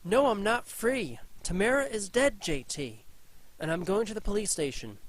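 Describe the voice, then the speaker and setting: A man's voice: high voice, lively intonation, normal speed, rough recording. A man voicing a frightened young woman who solves riddles, stammering and shaky. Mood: angry. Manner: shaky, stammering, then matter-of-fact.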